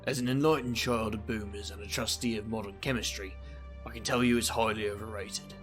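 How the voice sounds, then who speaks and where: A man playing a boastful, scheming supernatural investigator in an audio drama, wry, glib and theatrical, with a gravelly, husky voice. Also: on the low side, fairly even intonation, a little fast, fairly bright tone, soft background music, close to an everyday voice. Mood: wry musing. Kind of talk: audio drama narration and dialogue.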